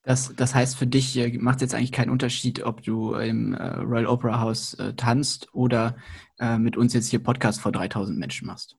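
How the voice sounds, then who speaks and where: A man with a husky, mid-pitched voice co-hosts a podcast. He asks long, friendly questions in a relaxed way. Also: moderate pace. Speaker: podcast co-host. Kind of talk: casual podcast interview.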